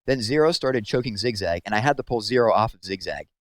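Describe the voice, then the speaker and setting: A man's voice: fairly low pitch, expressive, fast, dry recording, lightly acted. A man voicing a cheeky, casual, blunt teenage boy at a camp in a storybook. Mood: matter-of-fact.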